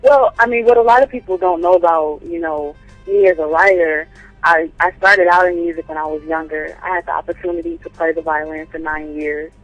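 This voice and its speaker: A woman poet calling in to an interview, answering thoughtfully with frequent pauses and fillers. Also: sits low, steady tone, no room sound, muffled recording, soft background music. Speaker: guest poet and writer. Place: calling in from home by phone.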